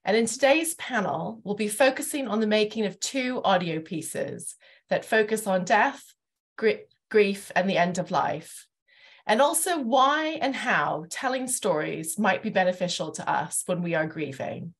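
A middle-aged woman hosts a panel discussion in an academic role. Her pitch sits in the middle of the female range. She speaks over a video call from a room at home. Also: expressive, a little slow. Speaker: host, university academic. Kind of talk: panel introduction and interviewing.

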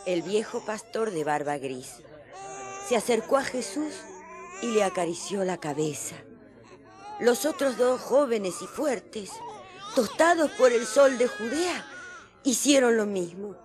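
A woman playing a mother fondly recalling her son's birth in a radio drama, telling it like a warm storyteller. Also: a little high, expressive, a little slow, a crowd in the background. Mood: tender.

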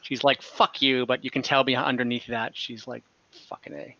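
A man's voice: medium pitch, level tone, brisk, pushed and bright, no echo. A young man narrating as game master on a video call, talking loosely with many fillers. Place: home room. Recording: slightly dull video call audio.

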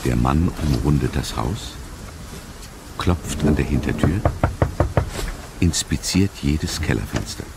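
A man's voice: rumbling low, expressive, takes it slowly, gravelly, pauses often, heavy background noise, full character voice. A man giving suspenseful scripted narration as the narrator of a thriller audio drama.

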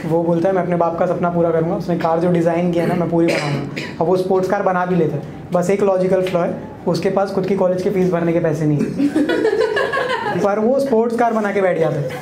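A man's voice: high-pitched, fairly even intonation, quick pace, background noise. A young male stand-up comedian telling a crude, casual story and checking in with the crowd. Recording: echoey club room, loud crowd laughter. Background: audience laughing.

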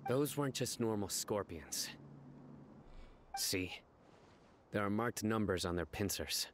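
An actor playing a stern, stoic young desert guardian in a video game, speaking in a serious, measured, commanding way. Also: male voice, on the low side, animated, a little hiss or hum. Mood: grave warning.

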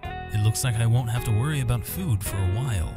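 A man's voice: mid-range voice, a little fast. A man hosting a gaming video, reading visual novel text aloud with asides and stumbling over some words and names. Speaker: gaming host. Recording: music under the voice. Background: game music with guitar.

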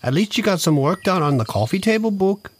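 A man's voice: high-pitched, lively intonation, fairly quick. A man playing a patient, long-suffering assistant in an audio comedy, mild, hesitant and placating. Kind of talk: scripted audio comedy acting.

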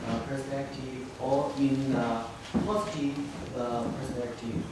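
A man with a Chinese accent gives a halting public comment at a slow pace, feeling for each phrase as a non-native speaker. He is far from the mic in a very reverberant, noisy room. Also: medium pitch, steady tone. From China. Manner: hesitant, word-by-word phrasing. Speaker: audience member at conference. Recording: very echoey room, distant mic, loud noise.

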